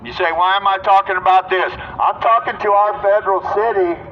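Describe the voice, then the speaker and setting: High-pitched American man haranguing through a megaphone. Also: steady tone, fairly quick. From United States. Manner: scolding, haranguing, insistent rhetorical questions. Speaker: street activist. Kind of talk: political street speech through a megaphone. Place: outdoors on a city street. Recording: dull muffled megaphone sound, outdoor noise.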